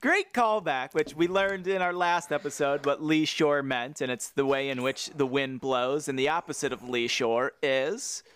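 A man co-hosting a casual podcast chat, animated and jokey, his pitch jumping high and low as he riffs. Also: normal speed, few pauses, clean tone. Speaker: podcast co-host.

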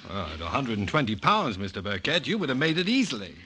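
Flustered, animated husband, crackly recording. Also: male voice, mid-range voice, fast. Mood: bitterly sarcastic. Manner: exasperated, sarcastic, stammering. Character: flustered, jealous husband caught between two wives. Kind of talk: 1950s radio comedy acting. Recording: noisy, crackly vintage 1950s radio recording.

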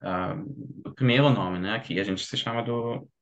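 A man's voice: fairly low pitch, normal speed, muffled recording. German man speaking careful Portuguese. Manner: searches for words, many "tipo" fillers. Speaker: podcast guest. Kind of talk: casual conversation.

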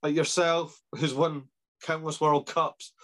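A man's voice: fairly high pitch, flat delivery, slow, fairly bright tone. A Scottish man hosting a casual interview, speaking in an informal, self-deprecating way. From Scotland. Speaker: podcast host interviewing athletes.

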